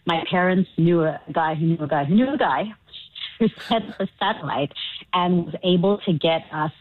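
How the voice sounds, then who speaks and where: A lively woman guest speaks in a slightly low voice, breaking into laughter and acted-out quotes. Her voice comes through a thin, phone-like line. She talks casually about her work. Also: moderate pace, no echo. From Chile. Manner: animated, laughs often, acts out quotes. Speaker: stage actor, guest. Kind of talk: casual interview chat.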